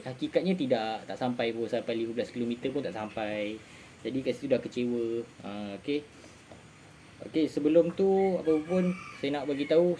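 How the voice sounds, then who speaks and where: Mellow-voiced Malaysian man explaining casually, some background noise. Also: mid-range voice, poor audio. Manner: repeats phrases for emphasis, informal tag words. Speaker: amateur radio vlogger giving advice. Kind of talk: casual explainer chat to viewers.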